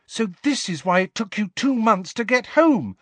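A man voicing a frightened, indignant young girl in an audiobook, shrill and full of exasperated questions. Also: huge pitch swings, takes it slowly, fairly bright tone, no room sound, full character voice. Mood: shrill disbelief. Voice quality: shrill, breathy when alarmed.

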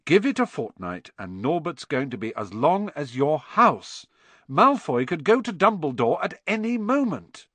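Man voicing an urgent, high-pitched schoolboy. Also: big pitch swings, normal speed, hardly any pauses, fairly bright tone, no room sound. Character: earnest, urgent schoolboy trying to reason with friends.

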